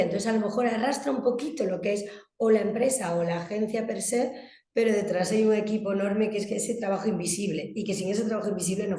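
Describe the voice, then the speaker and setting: A woman's voice: medium pitch, dark tone, echoey room. A woman from Galicia with a Spanish accent from Spain, giving explanatory, emphatic interview answers and often rephrasing as she goes. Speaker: interviewed guest, communications agency director.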